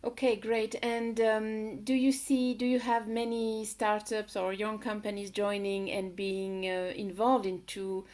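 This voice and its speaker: A woman's voice: medium pitch, little pitch movement, a little slow, few pauses, darkish tone. A woman interviewing a guest in a conversational style.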